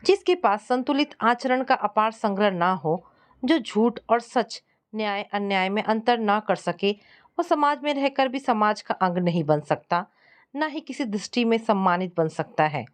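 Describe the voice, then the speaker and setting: An irritated older father lays down his principles firmly, read by a female storyteller in a mid-pitched voice. He moralizes in the style of a domestic audio story. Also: lively intonation, unhurried, lightly acted.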